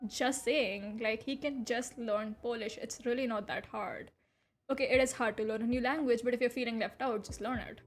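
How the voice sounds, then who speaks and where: A woman's voice: fairly high pitch, normal speed. A young woman whose first language is Hindi, reading online posts aloud on a stream and reacting with blunt, incredulous commentary and frequent laughter. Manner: animated, incredulous, laughs often, blunt with swearing. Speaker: VTuber streamer.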